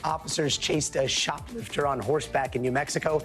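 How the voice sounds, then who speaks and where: Hoarse male news anchor. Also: on the high side, fairly even intonation, a little fast, a bit bright, soft background music. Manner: punny, playful asides. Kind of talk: news presenting.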